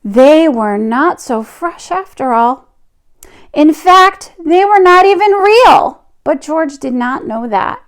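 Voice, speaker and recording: Lady doing storytime for kids, high voice, super expressive and slow, little asides to the listeners. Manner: expressive, drawn-out emphasis. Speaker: storytelling teacher reading a children's book. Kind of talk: animated picture-book read-aloud with asides to children.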